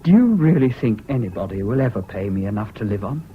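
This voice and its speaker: An actor in a British radio drama playing a troubled, excitable, self-doubting young man with an English accent and an earnest manner. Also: on the low side, fast talker. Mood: dejected, self-doubting. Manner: hesitant, earnest, swinging between gloom and giddy excitement. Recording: dull, hissy old radio recording.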